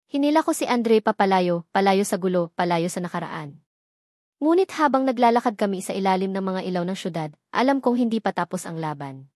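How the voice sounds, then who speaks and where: A woman's voice: medium pitch, expressive, fairly quick, a little mellow, no room sound, studio quality. A woman reading a scripted first-person romance story as narrator, in a dramatic storytelling manner.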